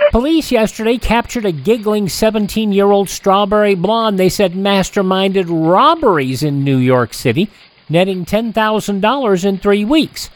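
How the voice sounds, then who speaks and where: A man hosting a classic radio show, reading old newspaper headlines in a steady newsreader delivery with small slips and self-corrections. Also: high voice, a little hiss or hum, low-quality recording.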